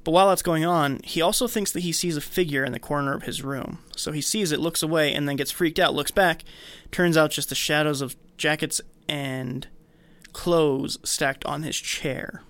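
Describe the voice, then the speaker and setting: A man's voice: a little high, fairly even intonation, moderate pace, fairly bright tone, no echo. A man co-hosting a casual discussion, retelling a film step by step. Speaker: podcast co-host.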